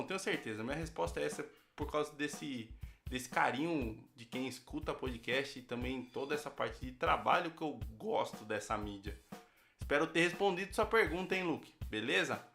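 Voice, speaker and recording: A Brazilian man with a bright, forceful voice pitched a bit high. He talks informally as a guest podcaster, with light music behind him. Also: gravelly. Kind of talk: informal recorded message.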